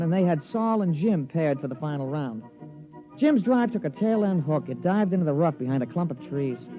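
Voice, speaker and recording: Plain-spoken caddy, higher-pitched man's voice, folksy slang, loyal, old radio drama, dulled sound. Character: loyal, plain-spoken male golf caddy telling the story. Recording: muffled old radio recording, music behind.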